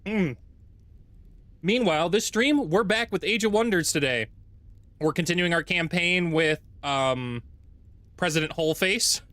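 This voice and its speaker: Male streamer, deep voice, casual rambling commentary, dry close home mic. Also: a little slow, long pauses, a bit bright, no room sound. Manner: rambling, self-correcting, thinks aloud. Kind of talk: casual gameplay livestream chat. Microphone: desk mic with noise gate.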